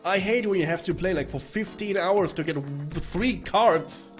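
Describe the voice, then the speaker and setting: A man with a hoarse, rough voice from bronchitis, chatting casually and rambling to stream viewers while playing a game. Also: sits high, normal speed. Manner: rambling, chatty, swearing, with frequent restarts. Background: faint game music.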